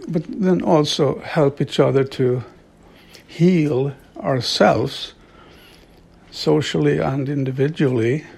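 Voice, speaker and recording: A middle-aged man from Sweden, an author and devotee, talking reflectively in a slow, halting way with frequent soft laughs. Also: on the high side, gravelly, husky. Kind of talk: reflective monologue.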